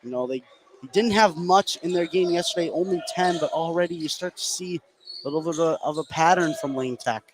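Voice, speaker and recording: A man's voice: a little high, brisk. A man giving conversational, analytical color commentary during a live basketball radio broadcast. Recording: noisy gym, clear background noise.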